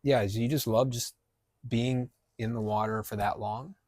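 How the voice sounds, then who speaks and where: A man's voice: mid-range voice, steady tone, moderate pace, darkish tone, no room sound, clean studio sound. A man hosting a casual outdoor interview under an eave in the rain, chatty and hesitant, with lots of fillers and restarts. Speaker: host and app maker.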